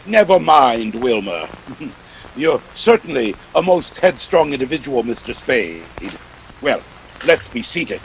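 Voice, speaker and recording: An actor playing a jovial but menacing older crime boss in a radio drama, florid and genteel with a gravelly, husky voice. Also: male voice, mid-range voice, sing-song, measured pace, slight background noise, poor audio. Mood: jovial, smooth. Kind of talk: 1940s radio drama acting.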